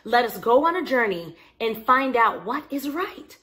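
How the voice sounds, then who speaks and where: A woman voicing a kindly, wise male toy bear who guides children in a storybook, gentle and courteous. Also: on the high side, very animated, a little slow, full character voice. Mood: reassuring.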